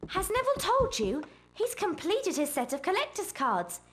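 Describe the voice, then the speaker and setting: A young woman voicing an eager, bossy schoolgirl who asks quick, chatty questions. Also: extremely high pitch, sing-song, background noise. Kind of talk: video game voice acting. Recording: music playing underneath.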